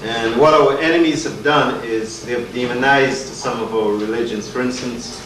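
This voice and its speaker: A man's voice: mid-range voice, fairly even intonation, normal speed, a bit bright. Man hosting in an echoey hall. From Guyana. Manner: earnest, rhetorical, emphatic. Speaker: event host. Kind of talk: community lecture introduction. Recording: echoey hall, distant mic, noisy.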